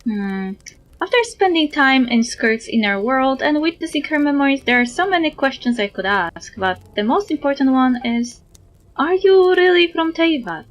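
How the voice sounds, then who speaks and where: Higher-voiced woman game streamer. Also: animated, normal speed. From Europe. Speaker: livestreamer, VTuber. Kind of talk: reading game dialogue aloud and chatting with viewers. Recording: music behind the voice.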